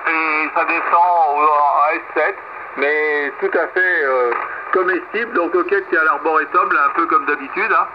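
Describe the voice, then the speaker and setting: Muffled shortwave radio with clear static carries a man's high voice. He talks casually as an amateur radio operator, handing over and chatting with the others on the frequency. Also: a little slow. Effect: shortwave single-sideband radio.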